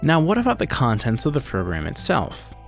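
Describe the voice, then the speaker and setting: A man's voice: medium pitch, wildly swooping pitch, a little fast, vocal fry. A man delivering scripted, analytical video essay narration in a conversational way, with casual asides. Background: music.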